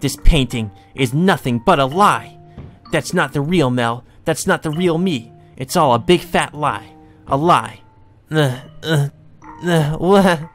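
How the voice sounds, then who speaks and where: A young noblewoman from a visual novel, acted out by a man in a voice pushed up high. She is jealous and distraught, stammering and wailing her lines with exclamatory force. Music plays behind her. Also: fairly bright tone. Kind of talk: visual novel character read aloud by a player.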